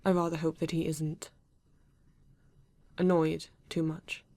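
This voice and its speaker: Low, even British android man acted by a woman. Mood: polite, formal. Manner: stiff, formal, measured phrasing. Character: polite, formal male android detective partner, reserved and earnest. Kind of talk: ASMR roleplay acting.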